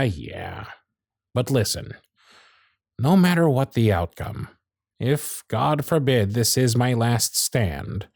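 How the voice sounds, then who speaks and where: Proud male supervisor, played by a man for ASMR roleplay. Mid-pitched, very expressive, sardonic and theatrical, dry close-mic sound. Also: no room sound. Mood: wry, teasing, then sincere, gentle. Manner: theatrical, exasperated, sarcastic phrasing. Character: proud, sardonic male workshop supervisor, protective of his intern. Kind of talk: scripted ASMR roleplay acting. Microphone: close studio mic, dry.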